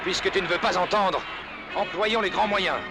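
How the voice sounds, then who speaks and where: A good-hearted giant in a cartoon film, a firm peacemaker. The voice is high for a man, earnest and projected with command. Loud orchestral-style film music runs underneath. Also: steady tone, normal speed. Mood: commanding, urgent. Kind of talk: French cartoon film dub.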